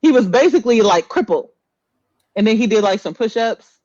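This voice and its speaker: A woman with very lively, swinging intonation chats as a podcast co-host. She is blunt and slangy and swears freely. The sound is dry and a bit muffled. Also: a little high, normal speed, no room sound. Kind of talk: casual movie chat.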